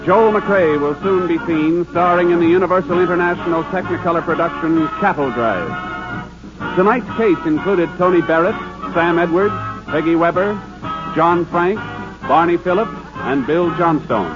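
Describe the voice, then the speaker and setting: A man's voice: on the high side, normal speed. A man reading scripted announcements in the style of 1950s radio. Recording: muffled vintage radio recording. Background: loud music.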